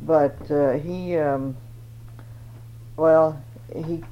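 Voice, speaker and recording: A woman's voice: sits low, steady tone, drawn-out words. An older woman from Denmark, recalling her family in an oral history interview and searching for words as she goes. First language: Danish. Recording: dull old tape with faint noise.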